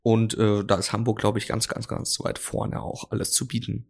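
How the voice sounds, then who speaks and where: A man's voice: fairly low pitch, monotone, normal speed, gravelly, darkish tone, breathy edge. A man, a drinks expert and interview guest, talking casually and rambling with many fillers and restarts. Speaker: drinks brand ambassador, guest.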